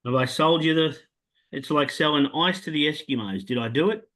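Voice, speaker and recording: A man with an Australian accent hosting a casual horse racing tips chat, pitching his picks with lots of "um" fillers. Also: a little fast. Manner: animated salesman pitch, many "um" fillers. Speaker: racing tipster host.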